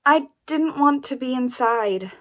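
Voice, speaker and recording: An actress playing a frightened, guarded young sister in an audio play, speaking in a halting, distressed way. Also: female voice, high voice, expressive. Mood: guarded, upset.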